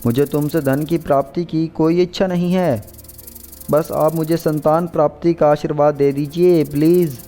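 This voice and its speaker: A man playing an eager, earnest snake charmer in an animated folk story. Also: on the high side, moderate pace, faint music behind, pitched above the performer's own voice, natural voice. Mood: humble request. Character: eager, money-hungry male snake charmer, softening for family.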